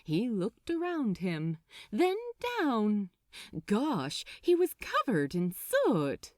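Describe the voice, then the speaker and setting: A woman narrating scripted fiction as an audiobook reader, with an expressive delivery. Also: medium pitch, extremely slow, dry recording, clean studio sound.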